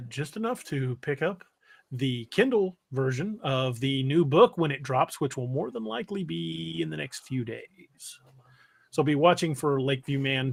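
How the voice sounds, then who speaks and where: This man has a slightly high voice for a male host. He talks in a casual, folksy livestream style, halting and doubling back on words as he works out what to say. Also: vocal fry. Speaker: podcast host.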